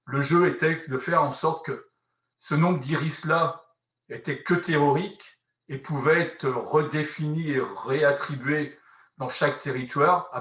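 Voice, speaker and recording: A man with a mid-pitched voice explains something as a conference speaker. He works through it haltingly, half improvised, rephrasing as he goes and adding small tag words. The sound is noticeably roomy and echoey. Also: sharp tone. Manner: halting, rephrases often, frequent "hein" tags. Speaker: conference speaker presenting a housing policy experiment. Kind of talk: explanatory presentation, semi-improvised.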